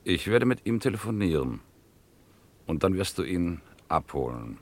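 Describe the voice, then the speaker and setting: A scheming gentleman crook with a notably deep voice. He speaks in pompous, carefully placed phrases and patronises his henchman. The delivery is that of a vintage radio crime drama. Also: sing-song, exaggerated voice. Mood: commanding, brisk. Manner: grandiloquent, patronising, deliberate phrasing. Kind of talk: vintage German radio crime play acting.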